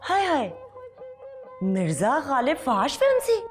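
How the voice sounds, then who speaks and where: An actress playing a sassy, naive courtesan dancer with a Punjabi accent in a television period drama, teasing and exclamatory. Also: female voice, sits high, huge pitch swings, slow pace, faint music behind, heavily acted. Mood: playful, astonished.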